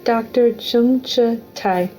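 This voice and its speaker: A woman's voice: on the high side, slow, dark tone, soft background music, rough recording. A woman announcing names in a formal ceremony roll call. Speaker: announcer reading graduates' names.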